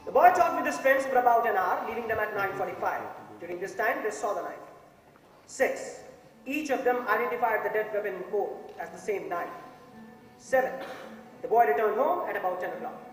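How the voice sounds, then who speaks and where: A young man acts a methodical juror in a stage play, arguing emphatically. His speech is quick and rings through a big echoing hall, far from the microphone. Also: very high voice. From India. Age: young adult. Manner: emphatic, point-by-point recital. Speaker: stage actor in a college play. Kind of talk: theatrical courtroom-drama dialogue. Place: theatre stage before an audience.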